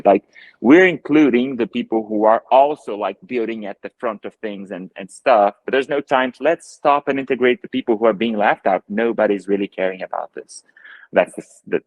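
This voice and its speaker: A deep, low-pitched man with a Brazilian Portuguese accent speaks over earbuds on a video call. He is in his thirties and answers thoughtfully, with plenty of fillers and restarts. The sound is dry and close, with no room echo. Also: creaky. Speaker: photographer and guest. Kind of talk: casual video call interview. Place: living room at home.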